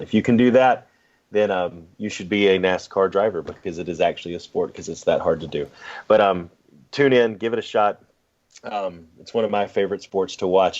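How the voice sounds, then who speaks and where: A man's voice: low-pitched, darkish tone. A man co-hosting a sports podcast, chatting casually in an easygoing, slightly hesitant way. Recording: dull, lo-fi audio.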